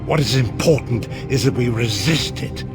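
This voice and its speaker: A man's voice: medium pitch, moderate pace, music in the background, close to an everyday voice. An actor playing a wise, solemn male prophet and leader in a fantasy game, grave and exhorting, like an orator. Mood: rousing, solemn.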